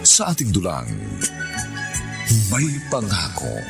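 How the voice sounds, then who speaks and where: A man's voice: deep voice, huge pitch swings, slow pace, creaky. A man announcing a radio drama in a dramatic scripted style. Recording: music loud behind voice.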